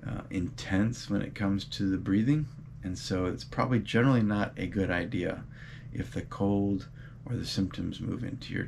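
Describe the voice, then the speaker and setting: Low-voiced man, a bit stuffy from a cold. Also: creaky, a little hiss or hum. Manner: steady, earnest, with frequent "uh" and "um" pauses. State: a bit congested with a mild cough. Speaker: running channel host. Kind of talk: casual advice vlog talk.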